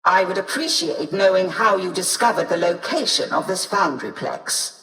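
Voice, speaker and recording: A woman's voice: lowish voice, animated, normal speed, a bit bright. An actress voicing a haughty, disdainful female tech-priest of a machine cult in a video game, with a formal, imperious manner. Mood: cold suspicion. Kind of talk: video game character acting. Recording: distant, echoing, long reverb.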